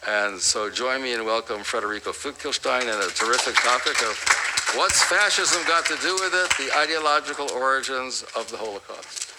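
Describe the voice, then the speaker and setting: A man giving a deliberate, opinionated public introduction at an academic talk, as its host. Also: mid-range voice, heavy background noise. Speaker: host introducing a guest lecturer.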